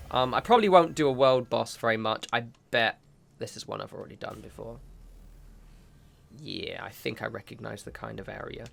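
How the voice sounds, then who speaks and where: Sniffly guy chatting thru his gameplay, rambly, keeps correcting himself, mid pitch. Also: gravelly, fairly bright tone. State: sniffly from a cold. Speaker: gaming channel host. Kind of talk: casual gameplay commentary.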